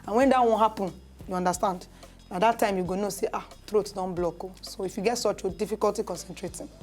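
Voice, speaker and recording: A woman's voice: mid-range voice, steady tone, fast, a little mellow, soft background music. Nigerian woman doctor explaining on air. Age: adult. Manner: explains step by step with fillers and restarts. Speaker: doctor presenting a health segment. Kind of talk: casual health talk show. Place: TV studio.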